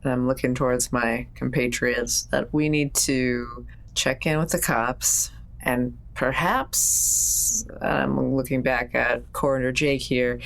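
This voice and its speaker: A woman's voice: a bass voice, slow, creaky, rough recording. A woman playing in a tabletop roleplaying game, chatting and improvising in character with dry, wry humour. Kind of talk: casual in-character improvised chat.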